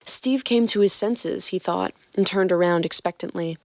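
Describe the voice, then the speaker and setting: A woman's voice: lowish voice, moderate pace, dry recording. A woman podcast co-host who reads fan fiction aloud and trades playful banter with her partner.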